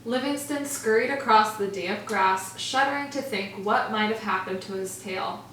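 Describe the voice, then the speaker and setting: A woman teacher reading a picture book aloud to children in a gentle, expressive storytelling manner. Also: medium pitch, normal speed, a little hiss or hum. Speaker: first grade teacher. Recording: echoey room, far from mic.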